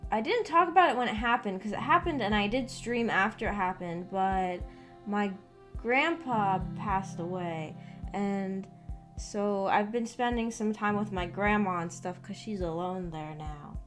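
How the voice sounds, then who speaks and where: A woman's voice: mid-range voice, unhurried, fairly bright tone. A young adult woman chatting casually on a live stream, rambling and hesitant, trailing off mid-thought. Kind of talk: casual rambling livestream chat. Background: background music with guitar.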